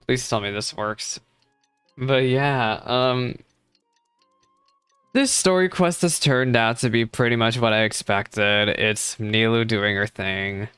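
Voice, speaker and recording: Game reaction streamer, chatty, smooth clear voice, dry close sound. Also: mid-range voice, slow pace, fairly bright tone, dry recording, soft background music. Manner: chatty, candid, exclamatory. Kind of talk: casual reaction commentary.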